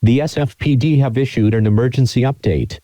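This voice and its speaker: A man reading scripted formal emergency broadcast announcements in a flat, impersonal, measured way. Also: medium pitch, no room sound.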